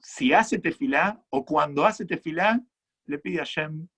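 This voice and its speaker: A man with an Argentine accent, a rabbi giving earnest, measured answers to audience questions at a conference talk. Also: fairly high pitch, expressive, creaky, fairly bright tone, husky. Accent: Argentine Spanish. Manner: earnest, measured, reflective explanations.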